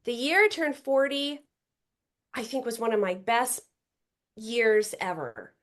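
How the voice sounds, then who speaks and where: A woman's voice: on the high side, expressive, slow pace, fairly bright tone. A woman in her forties, a podcast guest chatting casually, upbeat and talkative, often trailing off and restarting her thoughts. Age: 40s. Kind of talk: casual chat.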